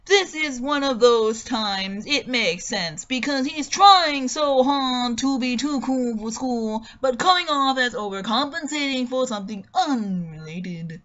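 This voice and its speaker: A woman giving an expressive, theatrical dramatic reading of a written online review. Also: high voice, measured pace, few pauses, lo-fi sound. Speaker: dramatic reader.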